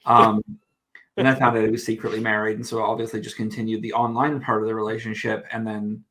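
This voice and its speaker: A man's voice: lowish voice, little pitch movement, brisk, bright, forceful tone, rough recording. Rambling man with a hard-to-place accent. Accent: blended New Zealand and American. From New Zealand. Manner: rambling, self-deprecating, frequent restarts. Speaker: guest. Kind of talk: casual chat.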